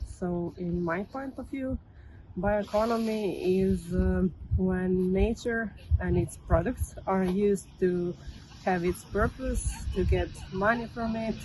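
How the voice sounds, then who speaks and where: A woman's voice: medium pitch, takes it slowly, warm tone, a little hiss or hum, poor audio. A woman from Croatia giving a casual, tentative interview answer.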